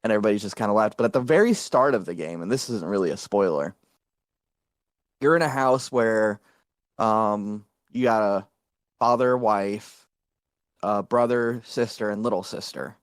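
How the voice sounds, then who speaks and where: Low-voiced man chatting with fillers. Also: moderate pace, no echo, clean studio sound. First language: English. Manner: rambling, many fillers and restarts. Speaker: guest VTuber and streamer. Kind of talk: casual interview chat.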